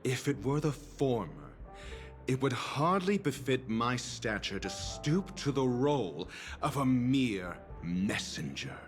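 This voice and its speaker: A man's voice: medium pitch, expressive. A man voicing a haughty, sardonic scholarly doctor in a video game, speaking in a pompous, formal manner. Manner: pompous, formal, deliberate phrasing. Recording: background music under dialogue. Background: music.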